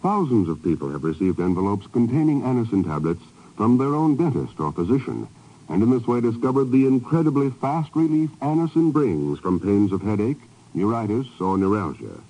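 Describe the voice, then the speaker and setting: A man working as a radio announcer, reading scripted copy in a husky voice and 1950s broadcast style. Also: lowish voice, lively intonation, brisk, highs cut off.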